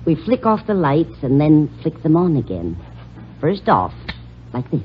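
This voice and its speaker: A woman's voice: low-pitched, sing-song, unhurried. A woman playing a kindly, fretful older librarian in an old-time radio drama. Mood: teasing, fussy. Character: kindly, fretful little gray-haired lady librarian. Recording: dull, slightly noisy vintage radio recording.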